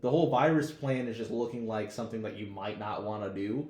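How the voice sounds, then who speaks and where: A man's voice: lowish voice, brisk, warm tone. An American man co-hosting a podcast, chatting casually about TV with slangy, profane, opinionated talk. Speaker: podcast co-host. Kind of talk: casual TV discussion chat. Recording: roomy echo with background noise.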